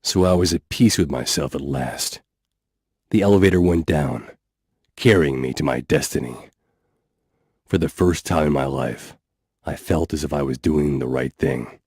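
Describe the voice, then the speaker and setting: A brooding weary survivor, very deep. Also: male voice, moderate pace, pauses often, husky, no echo, full character voice. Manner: dry, world-weary, reflective. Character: weary lone male survivor, brooding and sardonic. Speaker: game protagonist narrator. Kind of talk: scripted game cutscene narration. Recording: game audio with loud music.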